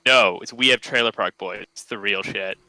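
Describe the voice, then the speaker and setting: A man's voice: on the low side, normal speed, bright, forceful tone, no echo, low-quality recording. A man from Canada with a general North American accent, a podcast guest in casual chat, rambling and hesitant with lots of fillers.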